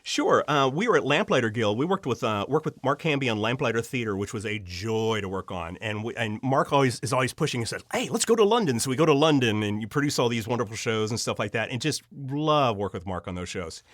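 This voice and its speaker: An enthusiastic man tells stories as an interview guest, his pitch moving freely as he slips into bits of quoted dialogue. He sits in a mid-range male register. The recording is dry and close, with hardly any room on it. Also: lively intonation, fast, few pauses, fairly bright tone, no echo. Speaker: interview guest, audio drama writer and director. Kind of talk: animated anecdotal storytelling.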